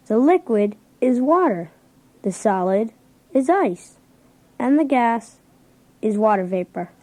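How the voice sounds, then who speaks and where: A woman's voice: fairly high pitch, expressive, slow pace, a little mellow. A woman voicing an earnest schoolchild reciting a science report in a children's read-along. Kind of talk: scripted science fact reading.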